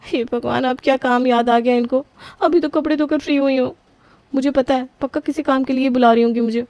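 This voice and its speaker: A woman plays a harried young daughter-in-law in a family storybook cartoon. Her voice is high and soft-toned, pleading and grumbling by turns. The recording is dry and close. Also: steady tone, fairly quick, no room sound. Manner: flustered, pleading, whiny complaining. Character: young newlywed daughter-in-law, flustered and put-upon. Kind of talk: scripted family story voicing.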